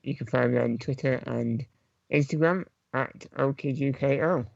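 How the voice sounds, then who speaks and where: A man's voice: mid-range voice, moderate pace, vocal fry, no echo, dull audio. A man chatting casually as a panellist on a podcast. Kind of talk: casual chat.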